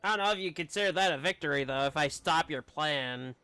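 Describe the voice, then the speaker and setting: A man's voice: a little high, fairly quick, sharp tone, smooth, dry recording, soft background music. A man giving casual, offhand, opinionated live commentary as he streams a game.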